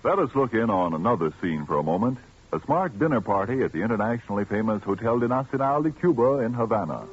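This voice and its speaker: A man reading a 1940s radio wine commercial in a smooth, persuasive manner. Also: lowish voice, animated, quick pace. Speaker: commercial announcer. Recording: dull old radio broadcast with faint music.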